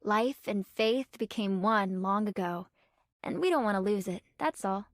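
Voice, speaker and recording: A woman voicing an earnest, dutiful young nun archer in a video game, speaking politely and formally in measured phrases. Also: mid-range voice, no echo, natural voice. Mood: calm, formal. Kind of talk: scripted game character voice lines. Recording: clean dry studio, music behind.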